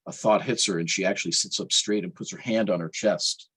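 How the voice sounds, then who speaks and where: A man's voice: sits low, steady tone, brisk, bright, forceful tone, husky. A man reading aloud from his own novel as its author, in a wry literary storytelling style.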